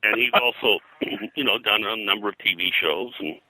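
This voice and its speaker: An older man reminiscing as an interview guest in a halting, digressive way, often clearing his throat. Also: on the low side, sharp tone, dry recording, poor audio. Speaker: interview guest, comics historian.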